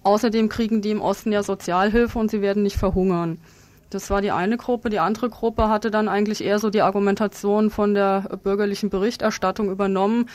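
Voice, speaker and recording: Woman interviewee, halting and even-toned. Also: mid-range voice, dry recording. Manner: hesitant, halting delivery with frequent ums. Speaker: activist interviewed as a guest. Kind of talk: radio interview, spontaneous political explanation.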